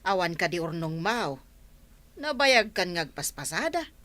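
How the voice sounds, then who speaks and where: An outspoken Filipino busybody in a radio drama, chiding and prying. Her voice is bright and swoops in lively tunes. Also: on the low side, a little slow, no echo, heavily acted. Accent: Filipino (Ilocano). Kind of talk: radio drama acting in Ilocano.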